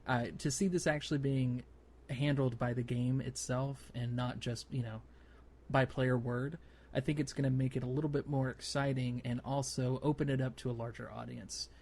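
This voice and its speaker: A man's voice: medium pitch, steady tone, normal speed, a little mellow. A man hosting a gaming podcast, explaining things casually in a loose, hesitant way. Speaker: podcast host.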